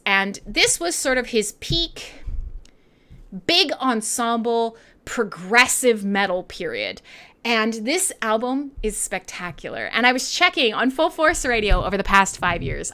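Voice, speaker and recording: A woman's voice: mid-range voice, pushed and bright. A Canadian woman hosting an irreverent radio show, chatting casually in a sweary, jokey, rambling way. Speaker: online radio show host and DJ. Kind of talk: casual irreverent live radio chat between songs.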